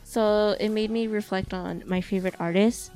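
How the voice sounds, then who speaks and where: A woman's voice: mid-range voice, fairly even intonation, moderate pace, warm tone, soft background music. A woman chatting casually on a podcast, rambling with many fillers and restarts. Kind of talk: casual chat.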